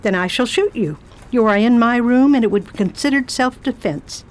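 A woman playing a formidable, brisk spinster travel writer with a crisp, commanding manner in an amateur radio drama. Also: fairly high pitch, darkish tone, close to an everyday voice. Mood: stern.

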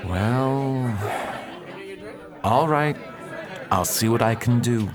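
An actor playing an upright, earnest preacher who pleads and reasons with others in a radio drama. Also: male voice, mid-range voice, drawn-out words, crowd noise. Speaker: reverend at a mission.

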